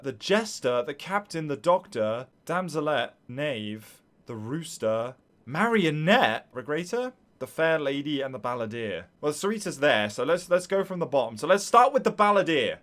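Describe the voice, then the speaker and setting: Animated, higher-voiced male streamer. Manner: excitable, thinking aloud to viewers. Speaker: streamer reacting to game voice lines. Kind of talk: casual live-stream reaction commentary.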